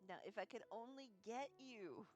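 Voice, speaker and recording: A woman's voice: fairly high pitch, moderate pace, a little mellow. A woman doing casual live game commentary, talking informally to herself and her viewers. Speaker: game streamer. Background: game music.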